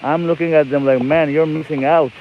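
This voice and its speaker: A man's voice: on the high side, fairly even intonation, brisk. Cheerful man on a bike. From Nepal. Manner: cheerful, rambling, enthusiastic. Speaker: livestream host. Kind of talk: casual livestream chat. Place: outdoors on an e-bike in winter. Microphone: phone. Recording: lo-fi phone stream with loud outdoor noise.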